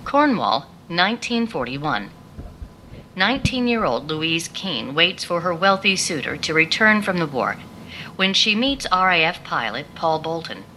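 Bright, expressive woman narrator. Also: fairly low pitch, unhurried, rough recording. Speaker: audiobook annotation narrator. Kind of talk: scripted narration. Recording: played clip with faint background noise.

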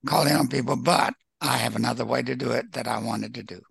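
A man with a gravelly, raspy voice casually discussing books as guest host of a book group. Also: mid-range voice, quick pace, fairly bright tone, no room sound, studio quality.

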